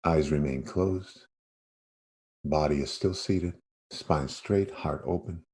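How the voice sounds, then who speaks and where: Man, very low voice, dark and relaxed tone, meditation teacher, guided yoga nidra, spacious pauses.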